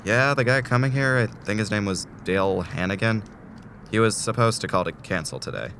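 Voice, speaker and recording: A man's voice: on the low side, fairly quick, fairly bright tone, a little hiss or hum. A man acting a desperate, tormented patient in a student audio drama, speaking in a weary, urgent, rambling way. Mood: weary pleading. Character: desperate, tormented man trapped in torture loop.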